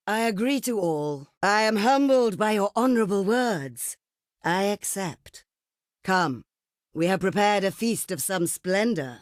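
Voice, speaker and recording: A woman's voice: medium pitch, dry recording, studio quality. A woman playing a proud, imperious ancient desert queen for a video game, speaking in a regal, theatrical, declamatory way. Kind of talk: video game diplomacy voice lines.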